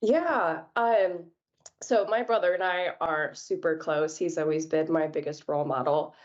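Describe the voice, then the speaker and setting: A young woman from New York, a podcast guest, telling her career story in a relaxed, chatty way with plenty of fillers. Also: sits low, unhurried, lo-fi sound. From New York, United States. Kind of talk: casual interview chat.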